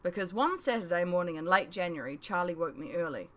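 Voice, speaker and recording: Woman, lower-pitched voice, audiobook narration, first-person fiction, author reading her own story. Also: lively intonation, quick pace.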